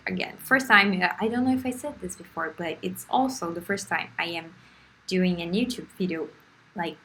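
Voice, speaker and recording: A woman's voice: on the low side, creaky, fairly bright tone, poor audio. A young woman from Brazil with a Brazilian Portuguese accent, narrating her first craft video in a chatty, self-deprecating way with frequent laughs and restarts. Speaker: art vlogger. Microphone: phone.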